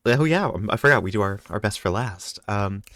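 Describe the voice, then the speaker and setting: A host running the casual joking chat before a tabletop game stream, often jumping into high squeals for fun. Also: animated, a little fast, fairly bright tone, no room sound, clean studio sound. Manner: playful, jumps into high squeals.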